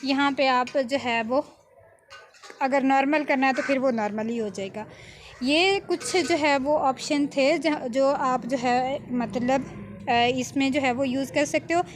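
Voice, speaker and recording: High-voiced woman tutor, noisy recording. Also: a little slow. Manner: informal, with repeated check-in tags. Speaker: video editing tutor. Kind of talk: step-by-step software tutorial narration.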